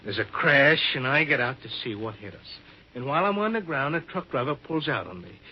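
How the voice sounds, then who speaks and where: Agitated, husky man under suspicion. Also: medium pitch, big pitch swings, brisk. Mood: agitated, defensive. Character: agitated male accident witness protesting suspicion. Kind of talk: 1950s radio drama acting. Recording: dull 1950s radio recording.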